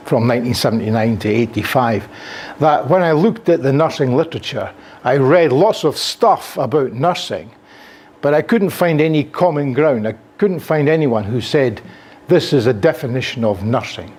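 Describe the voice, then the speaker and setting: A man's voice: mid-range voice, a little hiss or hum. An older man from Scotland with a Scottish accent gives a conference lecture in a classroom with a projector, gesturing freely and using frequent fillers, recorded by a camera at the back of the room. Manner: animated, gesturing while speaking, frequent fillers.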